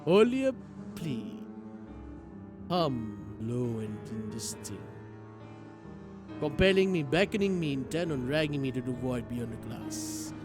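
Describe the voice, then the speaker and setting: A man streaming a game, reading its story aloud in an expressive, theatrical way. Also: mid-range voice, a little slow, long pauses, over music. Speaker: streamer playing a visual novel. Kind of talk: dramatic read-aloud narration with live reactions.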